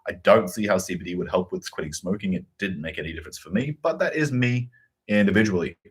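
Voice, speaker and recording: Fast-talking husky man. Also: expressive. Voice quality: deep voice. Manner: blunt, opinionated, swears freely. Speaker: co-host of a live stream. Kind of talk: casual live stream chat reading viewer comments.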